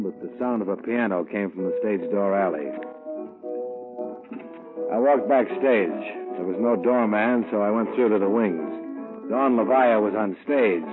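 A man's voice: on the low side, close to an everyday voice. An actor playing a cool, hard-boiled male insurance investigator who narrates a 1950s radio detective drama in a dry, clipped way. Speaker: narrator and lead. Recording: tinny old radio broadcast with music.

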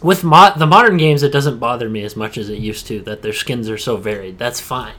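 A man's voice: mid-range voice, brisk, bright, forceful tone. A man co-hosting a casual gaming podcast, talking in a slangy, blunt and scornful way. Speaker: podcast co-host.

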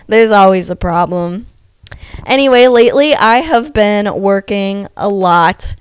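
A woman's voice: mid-range voice, unhurried, clean tone, no room sound. A young woman hosting a casual podcast, ranting sarcastically about restaurant customers with a stuffy voice, as if she is losing it. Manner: animated, sarcastic, heavy use of "like". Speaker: podcast host.

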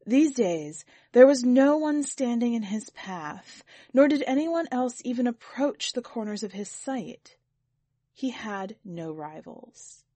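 A woman reading scripted narration for a literary fiction podcast in a measured, deliberate and expressive way. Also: a little high, no echo. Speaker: podcast host and story reader.

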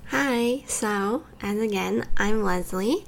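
A woman's voice: medium pitch, slow, gravelly, a little hiss or hum, rough recording. A young woman who grew up in California and Taiwan, co-hosting a podcast and talking casually with frequent "like" fillers. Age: young adult. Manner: conversational, lots of "like" fillers. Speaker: podcast co-host.

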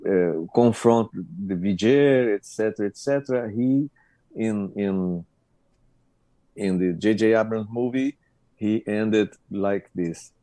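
A man co-hosting a casual podcast chat, speaking haltingly and often repeating his words. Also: on the low side, dark tone, no room sound, poor audio. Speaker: podcast co-host.

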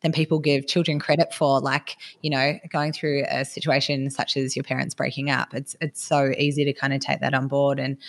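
A woman in her thirties hosts the conversation with a low voice. She asks her questions and affirms her guest in an encouraging manner. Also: level tone, fairly quick, hardly any pauses. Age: 30s. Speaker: podcast host. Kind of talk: interview.

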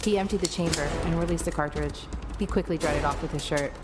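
A woman's voice: low voice, quick pace, natural voice. A woman playing an alien-fighting heroine who narrates an audio drama in the first person. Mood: tense, suspenseful. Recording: loud music bed behind the voice.

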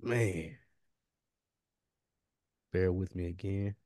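A man's voice: deep voice, fairly even intonation, very slow, warm tone, dry recording, studio quality. A man co-hosting a podcast, bantering in an informal, slangy way as he thinks aloud. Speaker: podcast co-host. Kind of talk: casual banter about a TV series fantasy draft.

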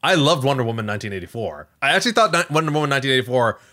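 Man chatting with very animated pitch. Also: very fast. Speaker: podcast co-host. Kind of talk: casual chat.

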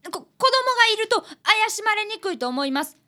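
Woman voicing an eager high-pitched schoolboy apprentice detective. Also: big pitch swings, a little fast, pushed and bright, heavily acted. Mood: earnest. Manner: polite, eager, clearly enunciated. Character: earnest, polite fifth-grade boy, apprentice detective. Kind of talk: radio drama acting and narration.

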